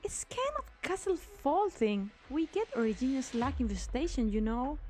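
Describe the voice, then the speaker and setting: A woman's voice: high voice, sing-song, moderate pace, a little mellow, soft background music. A woman playing a sardonic, reckless young female mercenary in an amateur anime game fan dub, with a teasing manner. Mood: smug, taunting.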